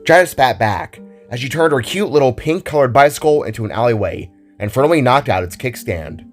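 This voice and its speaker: A man's voice: mid-range voice, animated, a little fast, faint music behind. A man narrating a scripted adventure story in a dramatic style.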